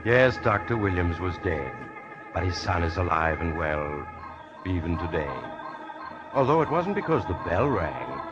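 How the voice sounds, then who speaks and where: An actor playing an eerie, genial train-riding storyteller in 1940s radio horror style, sly and mock-cosy. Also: male voice, deep voice, very animated, measured pace. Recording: muffled 1940s radio recording with loud music.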